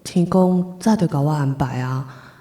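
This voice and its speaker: A devoted young Taiwanese woman in a radio play, resolute yet full of sorrow. Her voice is mellow and on the low side, with a roomy echo around it. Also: measured pace. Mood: dutiful resolve. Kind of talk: Taiwanese radio drama acting.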